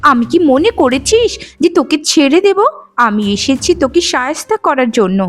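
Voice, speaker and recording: A woman's voice: high voice, very animated, a little fast. A woman playing a scheming, bossy young sister in a cartoon, speaking in a conspiratorial, commanding way. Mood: spiteful. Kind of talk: cartoon moral-story dialogue. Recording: music under the voice.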